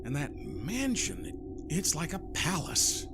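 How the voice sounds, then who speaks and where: A man playing a smooth-talking, nervously polite machinery salesman in an audio drama, flattering and a little hesitant. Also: on the high side, a little slow, noisy background, full character voice. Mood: impressed.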